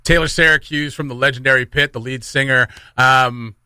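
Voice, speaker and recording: A man's voice: mid-range voice, fairly even intonation, moderate pace, sharp tone, smooth, no echo. A man hosting a casual radio show in an upbeat, chatty way. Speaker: radio morning show host. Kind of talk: casual radio chat and interview. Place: radio studio.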